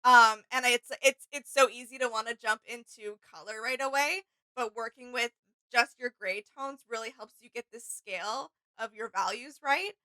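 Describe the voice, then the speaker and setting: A woman's voice: a little high, moderate pace, bright, forceful tone, rough recording. A woman chatting casually and enthusiastically as co-host of a reaction video. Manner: enthusiastic, appreciative. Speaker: co-host of a reaction channel, artist.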